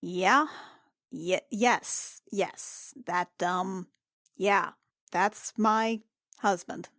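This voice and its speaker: Slow, hesitant woman at a game table. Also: fairly low pitch, a bit bright, no echo, studio quality. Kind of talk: casual roleplay and table chat.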